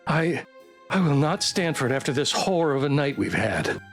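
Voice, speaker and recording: A man's voice: fairly high pitch, very animated, moderate pace, faint music behind, voice pushed up. A man voicing an anxious, well-bred young woman in a visual novel, with a prim, emphatic manner. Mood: indignant. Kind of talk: character acting in visual novel readthrough.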